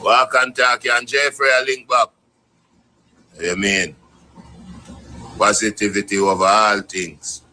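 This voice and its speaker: A man with a Jamaican accent giving relaxed, rolling shout-outs to fans in casual patois on a live stream. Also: fairly low pitch, takes it slowly, pauses often, a little hiss or hum, low-quality recording.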